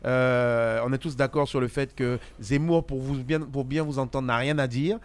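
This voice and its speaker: A man making his point in a talk show debate, measured and halting with fillers. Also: medium pitch, fairly even intonation. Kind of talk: casual debate. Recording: music clearly playing behind.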